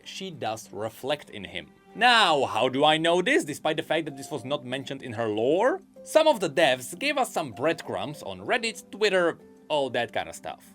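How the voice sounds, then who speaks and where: A man's voice: on the high side, fairly bright tone. A man commenting on game lore in a chatty, speculative voice-over.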